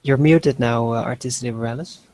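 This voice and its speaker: Gravelly man chatting casually. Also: mid-range voice, fairly quick, darkish tone. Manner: jokey, affable, short interjections. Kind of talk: casual group voice chat.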